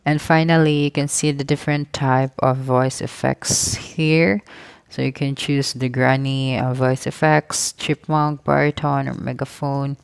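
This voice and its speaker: A tutorial host narrating step-by-step screen instructions in a smooth voice with some creak, recorded as a close voice-over. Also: medium pitch, level tone, measured pace, dry recording.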